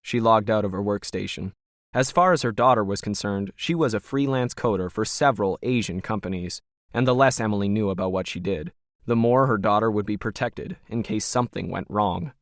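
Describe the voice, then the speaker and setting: A man's voice: on the low side, brisk, no echo, clean studio sound. A man reading scripted fiction as a narrator.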